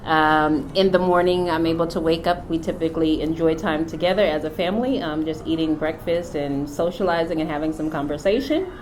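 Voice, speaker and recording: A middle-aged woman from the United States, a company founder, speaking about her work and faith in an unhurried, reflective way with frequent pauses. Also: deep voice, a little mellow, slight background noise, low-quality recording. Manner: unhurried, thoughtful, many filler pauses. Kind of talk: reflective personal testimony.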